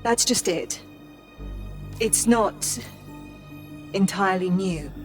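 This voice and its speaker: Female AI assistant for a video game, acted by a woman: mid-pitched, measured, courteous, dry wit, clear music underneath. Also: natural voice. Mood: measured, informative. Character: composed, loyal female AI assistant, dryly witty.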